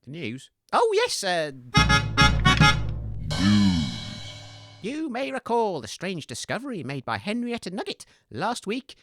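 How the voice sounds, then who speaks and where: A woman's voice: fairly low pitch, a bit bright, dry recording, studio quality. A woman playing an earnest, fussy, easily exasperated radio co-host in scripted comedy, hesitant and reproachful. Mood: earnest newsreading.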